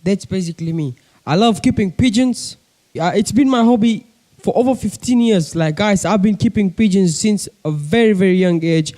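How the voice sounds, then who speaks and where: Casting note: young man, coastal Kenyan accent, laid-back vlogger chat, higher pitched, soft mellow tone, homemade bedroom recording. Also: normal speed. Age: young adult. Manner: chatty, keeps addressing viewers as guys. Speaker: pigeon-keeping vlogger. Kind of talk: casual chat to camera. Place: bedroom with a desk.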